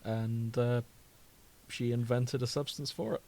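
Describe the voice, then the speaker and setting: A man's voice: medium pitch, fairly even intonation, measured pace, creaky, a little mellow. A British man co-hosting a podcast in a casual, comedic chat, amused and prone to giggling. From Britain. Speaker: podcast co-host.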